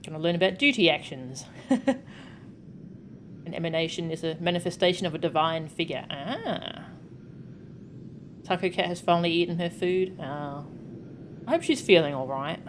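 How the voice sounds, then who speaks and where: A woman's voice: fairly low pitch, expressive, moderate pace, pauses often, slight background noise. A woman game streamer chatting casually to her viewers, informal and given to muttered asides. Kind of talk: casual live stream chat, reading game text aloud.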